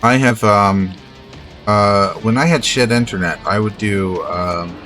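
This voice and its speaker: A man's voice: on the low side, measured pace, fairly bright tone, soft background music. A man in casual livestream chat, laid-back and matter-of-fact, free with swear words.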